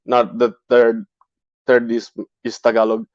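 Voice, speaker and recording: A man whose first language is Filipino, speaking hesitantly with many pauses and fillers in casual chat. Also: mid-range voice, monotone, a little mellow, no room sound. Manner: hesitant, halting, many ums. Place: home, online voice chat. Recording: dull voice-chat audio.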